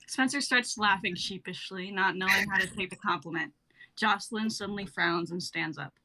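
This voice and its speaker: A woman's voice: medium pitch, moderate pace, sharp tone, rough recording. A young woman reading stage directions aloud as scripted narration for a sketch. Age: young adult.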